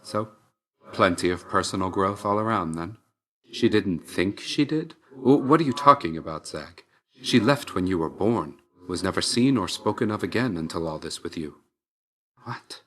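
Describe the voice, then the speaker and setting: A man playing an aloof, dutiful young god of death in a video game, reserved and measured, with phrases trailing off quietly. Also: sits low. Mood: taken aback. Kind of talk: video game character voice acting.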